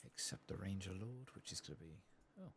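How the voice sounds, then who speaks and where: A man speaking softly and at times whispering in ASMR gameplay commentary, murmuring and musing to himself close to the microphone. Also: sits low, animated, fairly quick, background noise. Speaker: host of a gaming ASMR channel.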